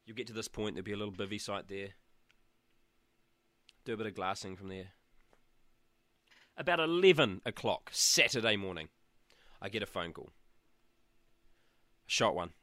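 A chatty New Zealand man hosts his show from a small room at the back of a shed, teasing his listeners with cheeky asides. His voice is bright and forceful despite a sore throat and a blocked nose, and it sounds dry and close. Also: on the low side, lively intonation, normal speed, pauses often, no echo. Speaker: radio show host. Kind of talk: casual solo chat.

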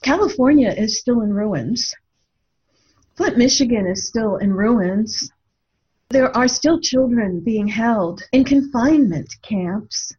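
A woman's voice: fairly high pitch, expressive, takes it slowly, a little mellow, highs cut off, a little hiss or hum. A woman speaking reflectively in a discussion, earnest and thoughtful, often posing rhetorical questions. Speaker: radio show co-host and author.

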